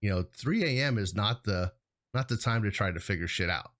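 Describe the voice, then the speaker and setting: A man's voice: fairly low pitch, animated, brisk, fairly bright tone. A man co-hosting a casual shop-talk discussion, speaking in an informal, plain-spoken way. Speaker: hazmat consultant and show host. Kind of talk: casual chat, professional topic.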